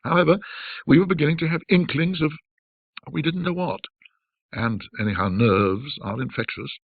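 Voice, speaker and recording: A man narrating a classic ghost story in a measured, dramatic, old-fashioned storytelling manner. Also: fairly high pitch, slightly rough, dry recording, phone-quality sound.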